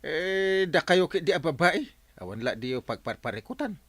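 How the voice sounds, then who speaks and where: An actor playing an unbothered village captain and husband in a radio comedy drama. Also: male voice, a little high, animated, moderate pace, a bit bright, no room sound. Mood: dismissive.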